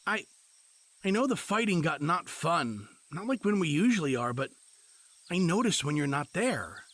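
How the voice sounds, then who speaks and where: An exasperated, sardonic husband in an audio fantasy drama, acted by a man. His pitch swings around a lot as he blusters, and the recording is close and dry. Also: big pitch swings, moderate pace, fairly bright tone, no room sound. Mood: tender, wry. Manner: sarcastic, blustering.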